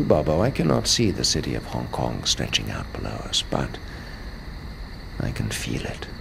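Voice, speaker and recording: A man's voice: low-pitched, creaky, noisy background, exaggerated voice. A man playing a menacing, urbane crime lord in a comic-book audio drama, speaking with suave, mock-polite formality. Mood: brooding.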